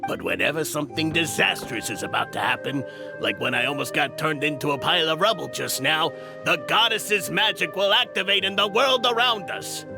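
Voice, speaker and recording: A man's voice: a little high, brisk, creaky, music in the background. A man voicing a fussy, vain townsman in a video game, explaining things in a chatty, elaborate way. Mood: chatty, self-assured.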